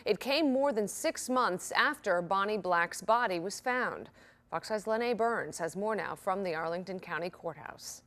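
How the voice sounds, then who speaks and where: A woman's voice: medium pitch, lively intonation, a little slow, fairly bright tone. A woman with an American accent reads scripted television news as an anchor.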